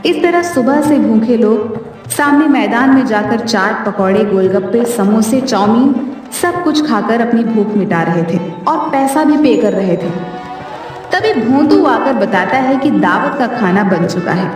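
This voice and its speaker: Female storyteller, slightly high voice, heavy echo, scripted narration for a moral tale. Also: animated, measured pace, darkish tone. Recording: echoey, distant, music underneath. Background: background music.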